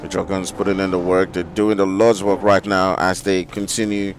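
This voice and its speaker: A man with an African English accent giving live play-by-play commentary on an esports match, with steady fillers as he calls the action. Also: low-pitched, slight background noise. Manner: rapid excited calls with filler "you know". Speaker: esports caster. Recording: game gunfire and vehicle sounds behind.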